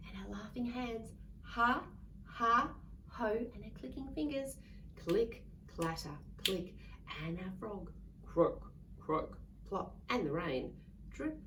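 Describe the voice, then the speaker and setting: A woman reading a picture book aloud to young children in a playful, expressive way, drawing out words and acting out sounds. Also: mid-range voice, slow pace. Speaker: children's story reader. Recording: distant mic with faint background noise.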